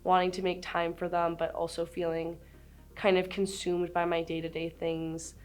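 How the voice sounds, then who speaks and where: A woman's voice: lowish voice, monotone, normal speed, soft background music. A woman in her twenties, a recent graduate, speaking candidly in an interview. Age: 20s. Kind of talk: documentary interview.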